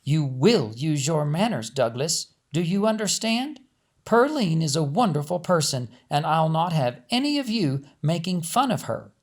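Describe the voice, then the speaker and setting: A man's voice: on the high side, big pitch swings, a little slow. A man reads as a proper, firm family woman in a storybook. Her voice sits a little raised, prim and orderly, with an admonishing edge. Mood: stern.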